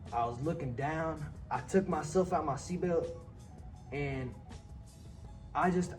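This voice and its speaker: A man's voice: medium pitch, a little mellow, distant mic. A young man from Palestine, a podcast guest, telling a personal story in casual chat, earnest and reflective, often restarting his phrases. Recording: set back from the mic, with music behind.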